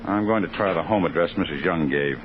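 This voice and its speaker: A stern American district attorney played by a male actor in an early 1950s radio crime drama. His voice is very low, his delivery clipped and authoritative. The old broadcast is muffled, with clear surface noise. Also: fairly quick, close to an everyday voice. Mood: brisk, commanding.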